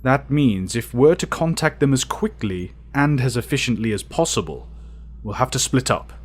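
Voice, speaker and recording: A man playing a commanding, methodical leader of heroes in an audio drama, with a deliberate, authoritative manner. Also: medium pitch, lively intonation, lightly acted. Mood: brisk, commanding. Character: commanding, methodical male leader of a hero team.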